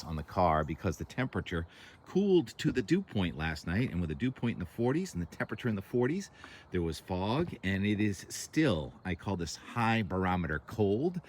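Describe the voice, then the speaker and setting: A New man with a very low, loud voice rambles casually about the weather. He fills his talk with "uh"s and restarts. He is outdoors on a camera mic, with faint ambient noise behind him. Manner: rambling, chatty, filler-heavy. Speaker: weather vlogger. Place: outdoors by the water in the morning. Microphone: camera mic, no lavalier.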